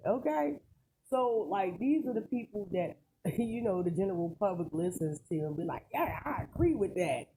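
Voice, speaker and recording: A commentator giving casual, rambling opinions in an emphatic, colloquial way, often throwing in rhetorical questions. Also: mid-range voice. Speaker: online celebrity news commentator. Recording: clearly noisy recording with clicks.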